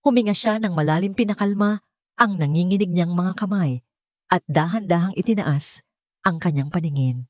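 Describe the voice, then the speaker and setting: A woman's voice: lowish voice, moderate pace, a little mellow, no room sound, studio quality. A woman with a Filipino accent reading a scripted dramatic story as a narrator. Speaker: audiobook narrator.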